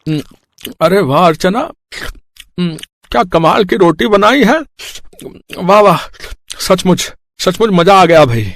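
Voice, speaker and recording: A man's voice: high voice, expressive, unhurried, pauses often, a bit bright, dry recording, clean studio sound, exaggerated voice. A man voicing a downtrodden poor labourer husband in a moral story, with a folksy manner. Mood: delighted.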